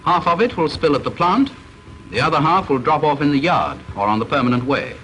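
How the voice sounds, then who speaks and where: British guy narrating a vintage training film, stern and measured, voice rises and falls a lot, bit of hiss. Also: on the high side, expressive, a bit bright, poor audio. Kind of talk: formal scripted narration.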